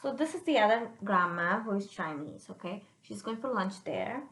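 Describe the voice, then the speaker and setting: A woman reading a picture book aloud to children, pausing to explain it simply and check they follow, in a roomy recording. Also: medium pitch, a little hiss or hum. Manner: animated, checks understanding with listeners.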